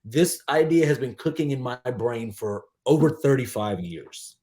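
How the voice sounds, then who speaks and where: Emphatic man in his forties, halting speech. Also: medium pitch, expressive, warm tone. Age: 40s. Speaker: podcast guest, DJ and aspiring filmmaker. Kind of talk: casual interview chat.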